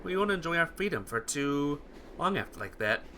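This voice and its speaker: Man playing an earnest, forceful, anxious teenage boy. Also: a little high, big pitch swings, normal speed, slight background noise. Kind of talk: visual novel character read-aloud.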